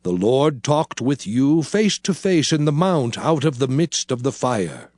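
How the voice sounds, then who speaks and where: A man's voice: medium pitch, sing-song, measured pace, darkish tone, no room sound, clean studio sound. An actor playing a solemn, impassioned prophet exhorting his people in grave, emphatic scriptural oratory. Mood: solemn commanding. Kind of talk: dramatized scripture oratory in archaic English.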